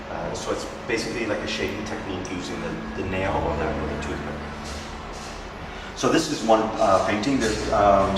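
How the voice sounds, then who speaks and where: A man in his fifties from New York, a painter talking through his paintings at a gallery, halting, with many pauses and fillers. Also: lowish voice, background noise. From New York, USA. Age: 50s. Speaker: painter presenting his work. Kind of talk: gallery walk-through talk. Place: indoor art gallery. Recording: echoey gallery from a distance, music behind. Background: music.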